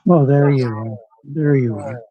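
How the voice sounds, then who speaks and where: A man from Canada chatting casually as a livestream co-host, halting and repeating his words. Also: medium pitch, slightly rough, no room sound, phone-quality sound. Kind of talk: casual chat.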